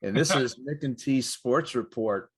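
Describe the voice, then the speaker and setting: A man's voice: mid-range voice, measured pace, slightly rough. A middle-aged or older man co-hosting a sports show, presenting with light banter.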